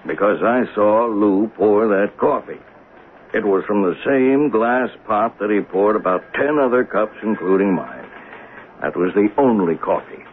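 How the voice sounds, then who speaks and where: An actor playing a folksy, observant train conductor in a 1970s radio mystery, musing and plain-spoken. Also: male voice, lowish voice, unhurried, natural voice. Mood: earnest, insistent. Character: folksy, observant commuter-train conductor telling a murder tale. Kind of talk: 1970s radio drama acting, narration and dialogue. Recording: noisy phone-like old radio broadcast.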